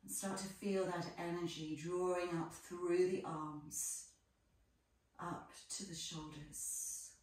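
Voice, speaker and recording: Female yoga instructor for guided relaxation: low-ish, very unhurried delivery, gentle phrasing. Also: fairly even intonation. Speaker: yoga teacher. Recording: echoey room, distant microphone.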